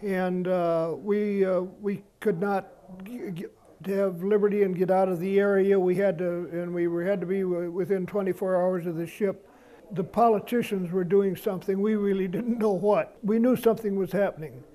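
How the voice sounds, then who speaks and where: A man's voice: high voice, steady tone, normal speed, warm tone. An elderly American man, a veteran, telling his memories in a studio interview. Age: 70s or older. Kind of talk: recollecting in an interview. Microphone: lapel mic.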